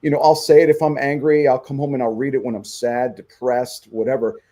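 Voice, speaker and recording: A man's voice: medium pitch, fairly quick. A middle-aged man, an actor and touring musician, talking earnestly in a casual radio interview. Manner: earnest, animated, says "man" and "you know" a lot.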